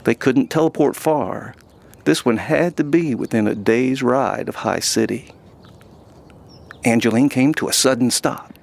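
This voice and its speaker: Male fantasy storyteller and host, medium register with fry, light music underneath. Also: mid-range voice, a little slow. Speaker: host and narrator. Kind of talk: scripted fantasy story narration.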